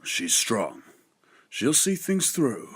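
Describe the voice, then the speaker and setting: A man playing an authoritative but courteous king and father in an audio drama, with a husky voice. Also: medium pitch, very animated, takes it slowly, a bit bright, no echo, exaggerated voice. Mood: welcoming. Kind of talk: scripted audio drama acting.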